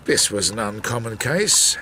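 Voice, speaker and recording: Male narrator for radio drama: reflective Victorian investigator, low register with wide inflection, understated delivery. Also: expressive, unhurried, bright, forceful tone, slight background noise. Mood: measured, wry. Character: measured Victorian investigator recounting a failed case.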